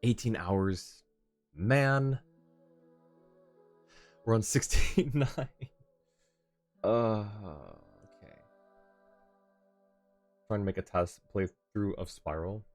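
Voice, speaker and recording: Male game streamer, low register, off-the-cuff chat-facing commentary, mutters his thinking aloud, music underneath. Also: extremely slow, long pauses, darkish tone. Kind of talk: casual livestream gameplay commentary, reacting and talking to chat. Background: video game music.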